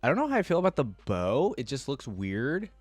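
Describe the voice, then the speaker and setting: A man's voice: mid-range voice, huge pitch swings, brisk, husky, no room sound. A man giving casual reaction commentary, thinking aloud in informal, slangy language. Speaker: reaction video creator.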